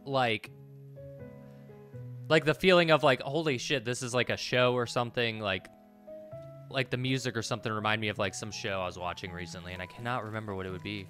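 A man's voice: fairly low pitch, steady tone, a little fast, bright, forceful tone. A man in his thirties giving casual gameplay commentary, rambling and thinking aloud as he plays. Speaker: let's play host. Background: game music.

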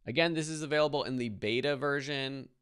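A man in his thirties giving a casual, easygoing step-by-step software tutorial in a conversational way. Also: mid-range voice, brisk, clean tone, no echo, clean studio sound.

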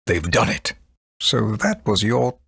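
A man's voice: fairly low pitch, very animated, slow pace, gravelly, a bit bright, breathy edge, no room sound, studio quality, heavily acted. A man playing a grave, authoritative leader of a fortress in a dramatic video game style. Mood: solemn. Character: grave, commanding fortress leader, easily flustered.

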